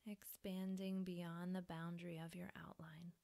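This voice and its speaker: Soft, creaky-voiced woman guiding relaxation. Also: on the low side, level tone. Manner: soft, breathy, soothing instruction with pauses. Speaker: yoga nidra teacher. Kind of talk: guided relaxation meditation.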